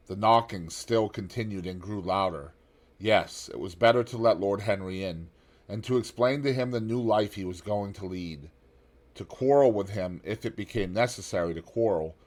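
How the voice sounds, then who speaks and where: A man with a somewhat low voice narrates a classic novel in a measured, steady reading. He lets his voice sink low and soft on grave passages. The delivery is scripted, unhurried audiobook narration.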